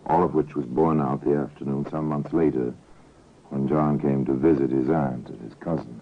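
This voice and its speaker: An actor playing a knowing, ominous narrator in an old-time radio drama, with a measured, insinuating delivery. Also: male voice, a bass voice, fairly even intonation. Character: knowing, ominous male storyteller of a mystery tale. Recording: muffled narrow-band vintage radio recording.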